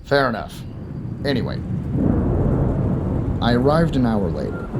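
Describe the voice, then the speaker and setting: A young man narrating and acting a first-person audio drama, moving between calm storytelling and panic. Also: medium pitch, measured pace, heavy background noise. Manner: expressive, swinging from calm narration to panicked outbursts.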